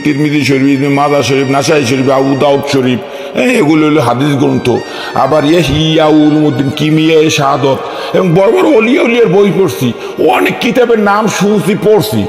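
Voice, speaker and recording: A man's voice: a little high, fast, gravelly. A man playing a persistent, outspoken village worshipper in a comic cartoon, respectfully challenging, with a Barisal Bengali accent. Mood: challenging, proud. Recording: echoey hall reverb, faint music behind.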